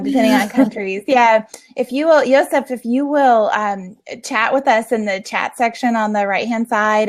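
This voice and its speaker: A woman casually hosting a live online video meetup, with a chatty, informal way of talking. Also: fairly high pitch.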